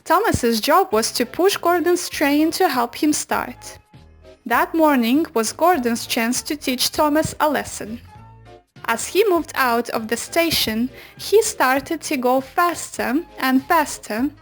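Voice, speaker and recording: Woman, high voice, slow pace, children's storybook narration, soft music behind. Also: lively intonation. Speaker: children's book reader.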